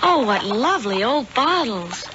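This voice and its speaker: An actress in an American radio drama playing a cheerful, trusting, chatty young niece. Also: female voice, fairly high pitch, big pitch swings, a little slow. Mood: bright curiosity. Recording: noisy, dull vintage radio recording.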